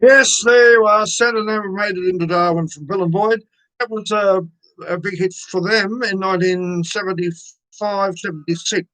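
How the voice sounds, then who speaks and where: A man's voice: high voice, steady tone, bright, forceful tone, no room sound, poor audio. An older Australian man presenting a radio show, chatting casually between songs with lots of ums and uhs. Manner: halting, many ums and uhs.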